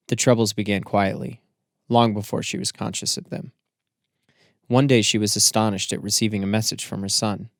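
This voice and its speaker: A man reading scripted literary narration for an audiobook in a measured, formal storytelling manner. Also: on the low side, vocal fry, no room sound, studio quality.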